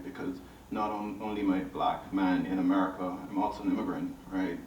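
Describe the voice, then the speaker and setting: A man's voice: sits low, darkish tone, highs cut off. Bahamian man, roomy distant recording. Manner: reflective, often ends statements with "right?". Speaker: artist on a discussion panel. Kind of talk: personal storytelling to an audience. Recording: roomy, distant mic, faint noise.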